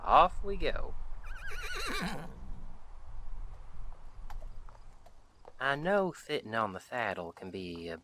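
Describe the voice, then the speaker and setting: Male actor as roaming cowboy sheriff, bright forceful tone, leisurely pace, folksy, wry, kindly, Western audio roleplay. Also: deep voice, slight background noise, heavily acted. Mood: friendly, wry. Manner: folksy, unhurried, wry, chatty. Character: friendly wandering Western sheriff, easygoing drifter, dryly humorous. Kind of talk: audio roleplay, speaking directly to the listener.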